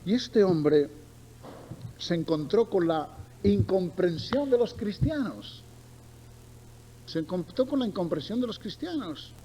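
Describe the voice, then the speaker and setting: A man's voice: high-pitched, animated, a little slow, long pauses, mellow, rough recording. A middle-aged man from Barcelona with a Spain accent, preaching a sermon with emphatic repetition and conversational asides to his listeners. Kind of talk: sermon with Bible reading and storytelling. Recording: noticeable background noise.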